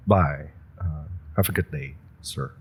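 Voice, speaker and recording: A man's voice: extremely low pitch, a little hiss or hum. A man voicing an eager, nervous young rookie villain who stammers and hesitates, in an audio roleplay. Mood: nervous, pleading. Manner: hesitant, stammering, many fillers. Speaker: listener roleplay actor.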